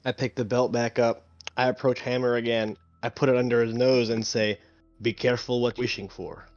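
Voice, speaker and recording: A man at a mid pitch narrates his character's moves slowly and deliberately, with a quiet menace. His sound is a bit dull and lo-fi. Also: little pitch movement, no room sound. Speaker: player. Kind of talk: describing his character's actions.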